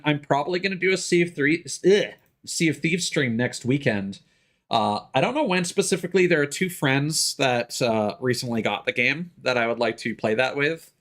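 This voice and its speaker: A man's voice: fairly high pitch, a bit bright. Man chatting casually on a livestream. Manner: informal, profane, explanatory. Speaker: game streamer.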